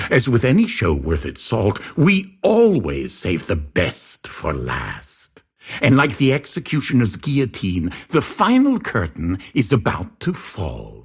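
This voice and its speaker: An actor playing a pompous, sinister circus ringmaster in a video game, speaking in florid showman's phrases with drawn-out dramatic emphasis. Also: lowish voice, gravelly, fairly bright tone, breathy edge, heavily acted. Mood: sly, ominous. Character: theatrical male circus ringmaster villain, grandiose and sinister. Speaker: video game villain and overseer. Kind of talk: theatrical scripted game voice lines.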